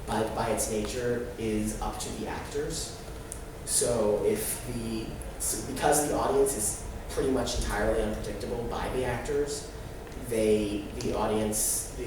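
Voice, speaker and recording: A young man giving an informal student presentation in a halting way, with false starts and repeated phrases. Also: medium pitch, distant mic. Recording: very echoey, noisy room from afar.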